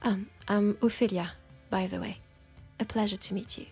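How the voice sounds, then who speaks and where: Woman playing a creaky-voiced French-accented witch, teasing and nurturing. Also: mid-range voice, fairly even intonation, normal speed, darkish tone, soft background music, lightly acted. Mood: playful, reassuring. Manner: playful, coaxing, chatty. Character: teasing, nurturing female witch, self-styled werewolf tamer. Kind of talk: roleplay audio acting, addressed to listener.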